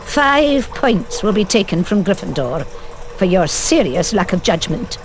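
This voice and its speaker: An actress playing a stern, proper older professor in a video game, strict, clipped and formal. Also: female voice, medium pitch, big pitch swings, measured pace. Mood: scolding. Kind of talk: video game cutscene acting.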